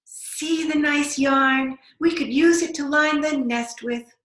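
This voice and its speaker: A woman voicing eager, chirpy robins from a children's storybook in a sing-song, drawn-out call. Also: high-pitched, fairly bright tone, echoey room, voice pushed up.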